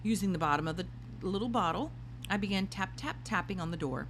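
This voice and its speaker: A woman reading a children's storybook aloud in an expressive narrating style. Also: mid-range voice, fast talker, background noise. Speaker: storybook reader.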